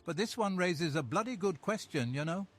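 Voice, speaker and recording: A pious, down-at-heel commoner speaks earnestly and colloquially in a fantasy game. His voice sits on the high side, over background music. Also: expressive, normal speed. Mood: musing. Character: pious, poor male commoner, earthy and plainspoken.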